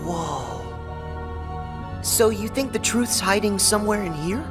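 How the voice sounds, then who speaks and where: A man's voice: high voice, expressive, a little slow, over music. An actor, a man, playing a naive, curious teenage boy hero in a video game, wide-eyed and earnest. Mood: puzzled. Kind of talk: video game cutscene acting.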